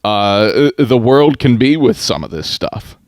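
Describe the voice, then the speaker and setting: A man hosting a casual conversational podcast interview, thinking aloud in measured, halting phrases. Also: medium pitch, expressive, no echo, clean studio sound.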